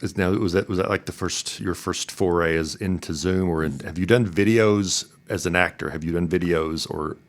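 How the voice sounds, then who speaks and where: A man hosting a conversational interview, feeling his way through questions in halting, thoughtful phrases. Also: low-pitched. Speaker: podcast host, interviewer.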